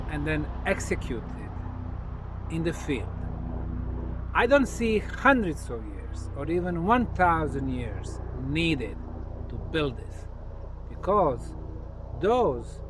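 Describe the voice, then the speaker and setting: A man presents on site as a researcher, explaining in an unscripted monologue with emphatic, deliberate phrasing and pauses. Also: a little high. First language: Bosnian. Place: outdoors at an open monument site. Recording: outdoor ambient noise, urban or vehicle sounds.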